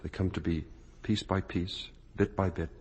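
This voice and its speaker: Earnest, husky, low-voiced son. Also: male voice, fairly even intonation, a little mellow. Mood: grave, aching. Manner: earnest, intense, deliberate. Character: earnest, morally outraged grown son confronting his parents. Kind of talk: 1950s radio drama acting.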